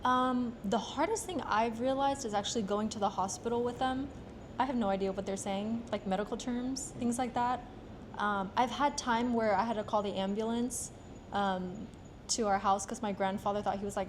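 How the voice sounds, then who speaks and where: Young American woman, mid-range voice, soft and hesitant, casual street-interview answers. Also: medium pitch, steady tone, normal speed, slight background noise. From United States. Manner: soft-spoken, filler-heavy, hesitant phrasing. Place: outdoors on a street in Japan.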